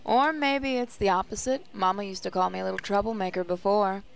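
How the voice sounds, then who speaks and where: A woman's voice: mid-range voice, steady tone, brisk, gravelly, a little hiss or hum. A woman reading visual novel narration aloud in a reflective, storytelling way. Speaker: gaming channel host, reader.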